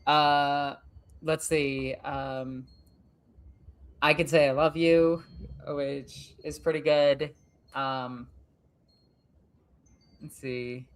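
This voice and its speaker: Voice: medium pitch, fairly even intonation, very slow, long pauses, clean tone. A young adult gaming streamer chatting casually and rambling while playing a strategy game, swearing now and then.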